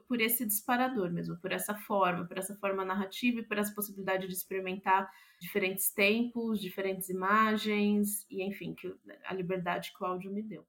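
A woman's voice: medium pitch, fairly bright tone. A Brazilian woman speaking as a playwright in a casual interview, reflecting on writing and often ending phrases with small question tags. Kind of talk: casual interview talk about own writing process.

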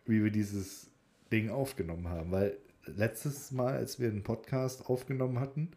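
A man's voice: on the low side, steady tone, normal speed, warm tone. A man co-hosting a podcast in casual banter, with a jokey, teasing way of talking. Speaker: podcast co-host.

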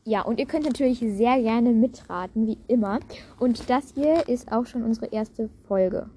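A woman's voice: fairly high pitch, moderate pace, mellow. A young woman chatting casually and playfully as a podcast co-host. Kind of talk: casual chat. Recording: home recording with faint background noise.

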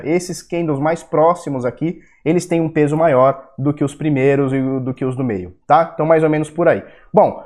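A man's voice: on the high side, darkish tone. A young Brazilian man with a Brazilian Portuguese accent, hosting a casual market-analysis video, blunt and profane, with heated rants. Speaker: crypto video host.

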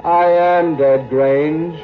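Dead man returned for revenge, very slow, taunting, deliberate, 1940s radio drama, dull hissy recording. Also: on the high side, fairly even intonation, over loud music. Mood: coolly taunting. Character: vengeful dead man returned to torment his rival.

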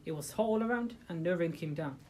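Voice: mid-range voice, expressive, quick pace, dark tone. Speaker giving an informal religious talk. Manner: rhetorical questions to the listeners, hesitant restarts. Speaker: religious talk leader for a group. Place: room with listeners present.

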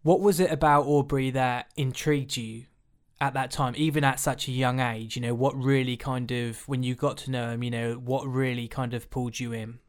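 A man's voice: medium pitch, fairly even intonation, normal speed, clear, resonant tone. Man, young adult, podcast host, enthusiastic, filler-heavy questions. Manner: enthusiastic, many fillers like "you know" and "like". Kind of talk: casual interview.

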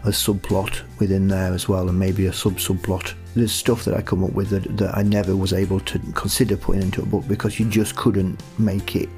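Low-pitched man in casual conversation. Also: flat delivery, fairly quick, hardly any pauses, a little mellow, soft background music. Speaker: audio drama writer. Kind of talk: casual interview chat.

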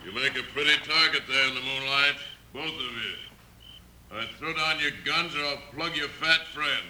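A man's voice: medium pitch, normal speed, pushed and bright. An actor playing a menacing gunman in a 1950s western radio drama, with clipped, threatening delivery. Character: menacing gun-toting western outlaw. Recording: echoey, noisy vintage radio recording.

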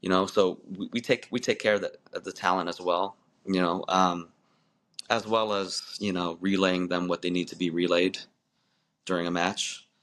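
A man in his forties with a low voice talks through his story on a podcast, circling back with restarts and "you know"s, laughing now and then. It is relaxed, unscripted interview talk. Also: moderate pace. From born in Malaysia, raised in the United States. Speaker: wrestling referee, podcast guest.

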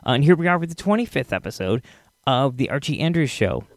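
A man's voice: medium pitch, sing-song, fast, no room sound, clean studio sound. A man hosting a podcast, talking casually in a rambling, enthusiastic way. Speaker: podcast host.

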